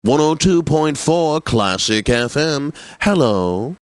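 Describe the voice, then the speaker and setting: An actor playing a wry, pompous classical radio DJ in a video game, delivering announcements in a polished, theatrical manner. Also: male voice, on the high side, expressive, slow pace, no echo, clean studio sound. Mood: smooth announcing. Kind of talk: radio weather reports and station idents.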